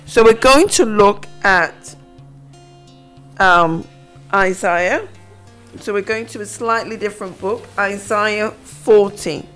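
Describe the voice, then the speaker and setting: Female radio Bible teacher, unhurried and reflective, soft guitar bed under her. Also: mid-range voice. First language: not Hebrew. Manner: slow, reflective, reads scripture aloud. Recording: faint guitar music behind. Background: soft guitar music.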